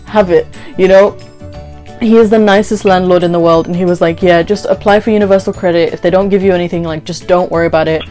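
A woman's voice: on the low side, quick pace, faint music behind. A woman in her twenties chatting casually and telling a story, acting out conversations as she goes. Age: 20s. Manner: animated retelling with quoted dialogue and frequent "like". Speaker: vlogger. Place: small bedroom, indoors.

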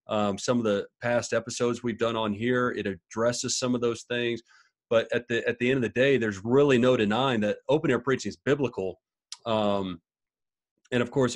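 A man in his thirties talking from a home study over a video call, thinking aloud and often repeating words as he reasons. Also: medium pitch. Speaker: podcast co-host. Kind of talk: casual discussion on a video call. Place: small home study with bookshelves.

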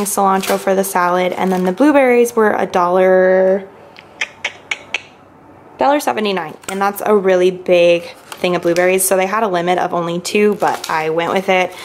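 A woman's voice: medium pitch, a little hiss or hum. A young woman vlogger chatting casually and upbeat about her shopping, filmed at home with a handheld camera. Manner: chatty and upbeat, lots of fillers. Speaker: lifestyle vlogger. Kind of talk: casual grocery haul chat. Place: indoors at home, kitchen counter. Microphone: handheld camera at arm's length.

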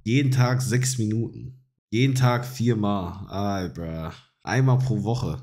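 A man's voice: lowish voice, measured pace, darkish tone. A man streaming live, reacting to a video and making slangy, mocking asides to his chat.

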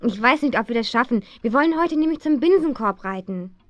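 An eager teenage girl detective acted by a woman in a children's radio play. Her voice is high and youthful, with an earnest, questioning way of talking. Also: lively intonation, brisk, crowd noise, natural voice. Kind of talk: German radio play acting for young listeners.

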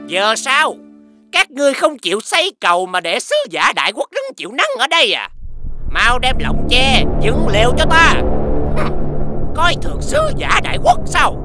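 A man voicing an arrogant, demanding foreign envoy in an animated fairy tale, with a haughty, scolding delivery. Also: very high-pitched, moderate pace, heavily acted. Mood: indignant. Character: arrogant, demanding male envoy of a great kingdom. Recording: music behind the voice.

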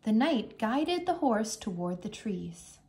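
A woman reads a children's book aloud as a teacher would. Her voice is fairly high and her pace is very slow. She narrates carefully, with expressive storytelling. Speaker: English teacher reading aloud.

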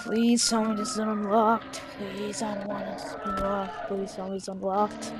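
An excitable, melodramatic young-sounding gamer improvising a zombie apocalypse roleplay over game sounds. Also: mid-range voice, moderate pace, loud music behind the voice. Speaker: gaming video creator playing a roleplay character.

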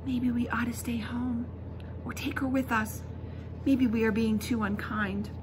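A woman voicing a soft-hearted, anxious mother in a storybook, soothing and coaxing. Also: fairly high pitch, flat delivery, unhurried, noisy background, pitched above the performer's own voice, close to an everyday voice. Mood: tearful, hesitant.

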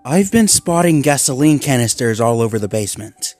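A man's voice: mid-range voice, sing-song, fairly quick, a little mellow, no room sound, soft background music, pitched above the performer's own voice, close to an everyday voice. A man voicing a determined young male Labrador dog who talks in an intense, resolute way.

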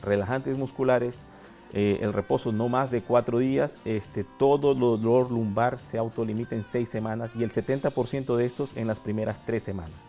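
A man in his forties, a doctor guest on a TV health show, explaining treatments in a steady, methodical way with a lapel mic in a studio. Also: mid-range voice, normal speed, faint music behind. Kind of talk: medical explanation.

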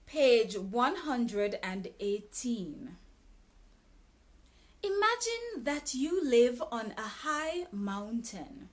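Very slow, lively-voiced woman reading aloud. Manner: careful, deliberate reading with expressive pitch. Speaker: English teacher reading a textbook aloud. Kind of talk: slow, clearly enunciated read-aloud lesson. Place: small bright indoor room.

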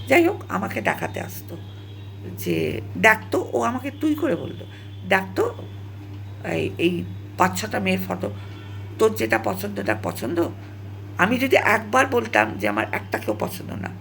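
A woman tells a personal story in an emotional, dramatic monologue, halting and repeating phrases for emphasis and dropping in English words. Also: mid-range voice, gravelly, fairly bright tone, slight background noise. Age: 70s. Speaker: storyteller recalling own past.

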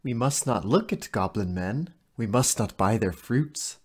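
An actor playing an eerie goblin creature in a child's shape, chanting verse slowly with a husky voice in an audio play. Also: male voice, lowish voice, expressive, a little mellow. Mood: eerie, ominous. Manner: slow, chanting recitation of verse.

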